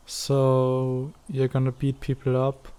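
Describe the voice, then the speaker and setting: A man's voice: mid-range voice, completely flat delivery, slow pace, warm tone, clear, resonant tone, close to an everyday voice. A man playing a snarky, laid-back but caring teenage schoolboy in a comic fan dub, with a dry, sarcastic delivery.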